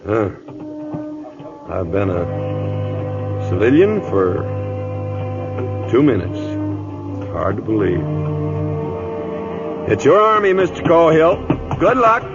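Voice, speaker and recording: An actor playing an aging, gruff cavalry captain in an old radio drama, giving clipped orders with dry, proud understatement. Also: male voice, fairly low pitch, big pitch swings, takes it slowly, long pauses, over music, exaggerated voice. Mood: wry, resolute. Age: older. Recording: dull, noisy old radio recording.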